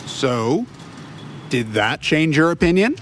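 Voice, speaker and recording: An actor playing an awkward, wry private eye in an audio drama, bantering and stammering when flustered. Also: male voice, fairly high pitch, very animated, slow, a little hiss or hum. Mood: bluffing, flustered. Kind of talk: audio drama detective comedy acting.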